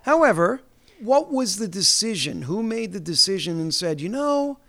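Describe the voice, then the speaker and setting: A man's voice: sits high, big pitch swings, fairly bright tone. A man from the New York area interviewing musicians in a playful, chatty way. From New York area, USA. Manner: playful, chatty, trails into quick asides. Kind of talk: casual interview chat.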